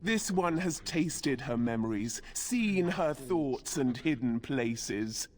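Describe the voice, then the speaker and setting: A woman's voice: extremely low pitch, lively intonation, slow pace, a little mellow, exaggerated voice. An actress voicing a menacing demon in a woman's body for a video game, haughty and archaic in manner. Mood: haughty, calculating. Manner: archaic, haughty, speaks of itself in third person. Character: menacing demon possessing a dead female warden commander.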